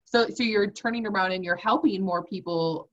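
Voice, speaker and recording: A woman's voice: medium pitch, normal speed, fairly bright tone. A woman hosting a video interview series, enthusiastic and a bit rambling as she chats with her guest. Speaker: host. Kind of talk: interview conversation. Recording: dull lo-fi sound.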